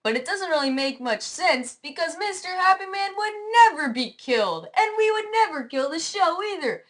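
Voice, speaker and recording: High voice, swooping intonation, relentlessly cheerful cartoon presenter played by a woman, gushing, oblivious. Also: big pitch swings, fairly bright tone, exaggerated voice. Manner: perky, gushing, slow announcing. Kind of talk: comedic animated web-series hosting.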